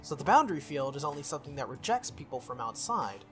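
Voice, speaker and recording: A man voicing a formal, earnest young female knight from a visual novel, in stiff, measured phrasing. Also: a little high, very animated, music in the background. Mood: firm, explanatory. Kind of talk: visual novel character read aloud.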